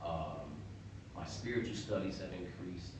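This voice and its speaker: A man's voice: deep voice, measured pace, background noise. A man speaking as a panelist, emphatic and listing points in rhythmic runs. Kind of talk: panel discussion. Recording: echoey room, mic far off.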